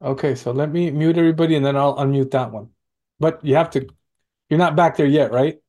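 A man co-hosting an informal show, chatting casually in a halting way and often repeating his words. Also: fairly high pitch, fairly even intonation, a little mellow. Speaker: co-host running the muting. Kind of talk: casual chat.

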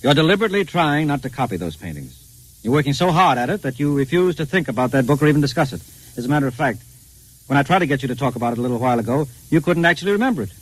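Guy acting down to earth reassuring friend, 50s radio drama, wry and coaxing, really animated intonation. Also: medium pitch, very fast, close to an everyday voice, low-quality recording. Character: pragmatic, reassuring male friend of a troubled painter.

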